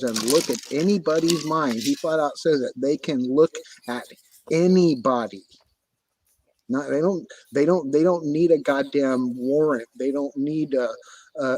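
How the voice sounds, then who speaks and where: A man's voice: mid-range voice, a little mellow, no echo, low-quality recording. A man hosting a livestream, talking casually in a profane, repetitive rant. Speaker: livestream host.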